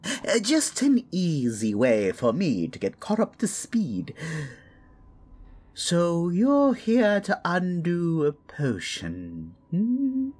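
A woman's voice: on the low side, wildly swooping pitch, a little mellow, slightly rough, voice pushed up, exaggerated voice. A woman voicing a genteel, sly witch in an audio roleplay, coaxing and knowing, drawing out her words theatrically. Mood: probing, knowing. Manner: drawn-out, coaxing, calls listener dear.

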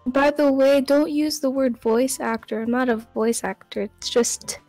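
A woman's voice: high voice, a little slow, darkish tone, soft background music, low-quality recording. A young woman guest on a game stream, giggly and teasing, chatting and reading aloud. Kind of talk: casual chat and reading aloud.

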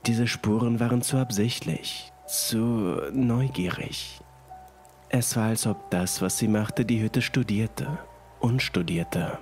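Man, low voice, horror story narration, scripted, background music, rain. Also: takes it slowly, darkish tone. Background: music and rain sounds.

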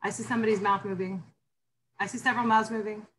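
A woman instructor with a low voice leading an online class discussion, emphatic and animated when talking theory. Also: quick pace, a little hiss or hum, rough recording.

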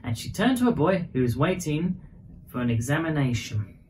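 A man's voice: on the high side, very animated, normal speed, a little mellow, slight background noise, rough recording. A man in his thirties reading a children's bedtime story expressively. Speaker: storybook reader.

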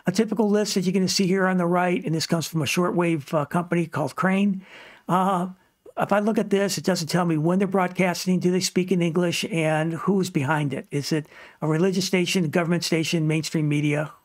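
A man's voice: high voice, steady tone, a little fast. A man narrating an informal instructional slide presentation in a plain-spoken, explanatory way, giving emphatic advice. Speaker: presenter of a ham radio tutorial channel.

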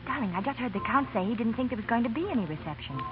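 A woman's voice: fairly high pitch, animated, racing, natural voice. An actress playing a sweet, concerned young woman, tender and imploring, in 1930s radio style. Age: young adult. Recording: muffled 1930s radio recording, music behind.

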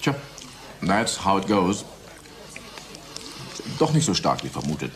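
A cynical colleague in a radio play. He is glib and offhand, with a lowish, very animated voice. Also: unhurried, a little hiss or hum. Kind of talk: German radio drama acting.

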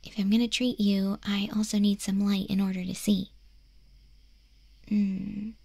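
A woman playing a friendly, curious young wolf girl in an ASMR roleplay, speaking softly and gently in a breathy, hesitant near-whisper. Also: medium pitch, little pitch movement, unhurried, no room sound. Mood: gentle, caring. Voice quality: soft, breathy, mostly whispered.

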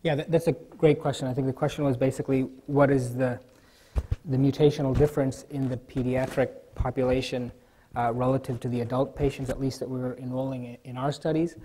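A man giving a keynote at a scientific conference from a lectern, speaking in a measured way with frequent fillers. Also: mid-range voice, flat delivery, warm tone, a little hiss or hum, low-quality recording. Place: large conference hall.

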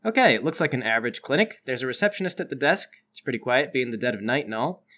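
A man's voice: mid-range voice, expressive, fast, pushed and bright. A man acting as game master, narrating a tabletop game and bantering with the players.

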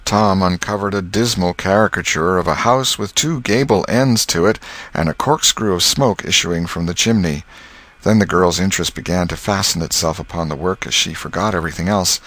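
A man with a low voice narrates classic fiction. His delivery is measured and scripted, that of an audiobook storyteller. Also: fairly bright tone.

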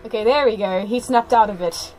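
A woman giving spontaneous reaction commentary, excitable and full of exclamations. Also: medium pitch, brisk, soft background music, low-quality recording.

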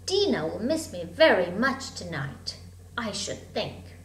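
A woman's voice: medium pitch, sing-song, slow pace, voice pushed down. A woman voicing a curious, chatty little storybook girl who muses earnestly to herself. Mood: earnest, fond. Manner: wondering, earnest self-talk. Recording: echoey room, hum and background noise.

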